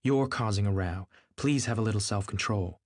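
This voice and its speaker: A man's voice: on the low side, normal speed, natural voice. A man voicing a fretful, wounded wealthy young wife in an audiobook, pleading and scornful. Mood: desperate.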